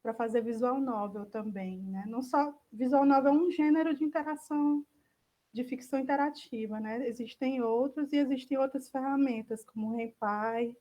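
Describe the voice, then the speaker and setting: A woman's voice: high voice, normal speed, mellow. A woman with a Brazilian accent giving an informal online talk in a tentative, self-correcting way. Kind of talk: informal presentation. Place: indoors on an online video call.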